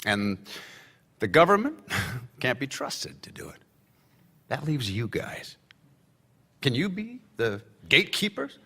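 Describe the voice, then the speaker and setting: A man's voice: mid-range voice, huge pitch swings, slow pace, long pauses, bright, forceful tone, breathy edge, in a large hall, full character voice. An actor playing a brash, boozy male government insider at a victory party, sarcastic and laughing between his lines. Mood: needling. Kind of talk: radio play acting.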